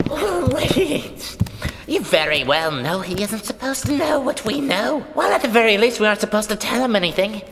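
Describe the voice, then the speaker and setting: A woman's voice: fairly high pitch, big pitch swings, moderate pace, gravelly, noisy background. Prim, argumentative actress's female voice, heavily reverberant. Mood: flustered. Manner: precise, formal, argumentative. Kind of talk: radio play acting. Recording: long reverb, music behind. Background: music.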